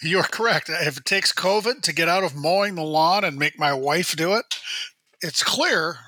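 A man in his sixties, hoarse while recovering from illness, rambling and restarting his sentences in a casual radio chat. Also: fairly high pitch, normal speed, pushed and bright, dry recording. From Minnesota. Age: 60s. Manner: rambling, self-correcting storyteller. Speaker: show regular, state high school sports guide.

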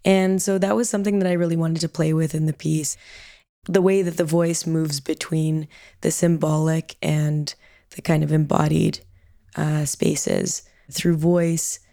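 A woman artist talking reflectively about her work, with a low voice of limited range and frequent pauses and fillers. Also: vocal fry, dark tone, no echo, studio quality. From Canada. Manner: thoughtful, with frequent ums and hedging "kind of". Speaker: artist and audio producer. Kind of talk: reflective interview answer.

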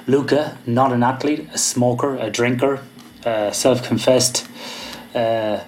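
A man's voice: medium pitch, fairly even intonation, takes it slowly, darkish tone, slight background noise, rough recording. A man with an Irish accent hosting a podcast, upbeat and encouraging as he introduces and questions his guest. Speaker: podcast host. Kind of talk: podcast interview. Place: home in Australia.

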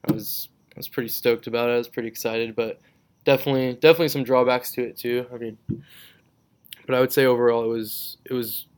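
Smooth-voiced young man, casual and rambling. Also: medium pitch, fast talker. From Israel. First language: Hebrew. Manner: relaxed, rambling with many fillers and restarts. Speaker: podcast guest. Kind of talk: casual interview chat.

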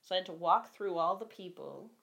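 Woman with a low voice, candid, chatty interview guest, laughs easily. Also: fairly quick, vocal fry, a bit bright. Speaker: guest, costumed performer. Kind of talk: casual interview chat.

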